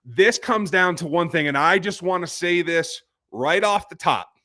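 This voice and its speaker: A man's voice: high-pitched, animated, unhurried, sharp tone. A man hosting a live post-game sports reaction show, with a fired-up, ranting delivery. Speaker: podcast host.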